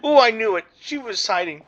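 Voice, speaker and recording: A man giving casual, rambling game commentary, halting and reacting as he goes. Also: extremely high pitch, a bit bright, highs cut off. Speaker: gaming video maker. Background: game music.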